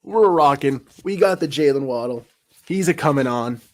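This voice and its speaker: A man's voice: medium pitch, animated, moderate pace, no echo. A man in his thirties from Peru, hosting a live-stream sports talk show with hyped, trash-talking banter. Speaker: podcast host.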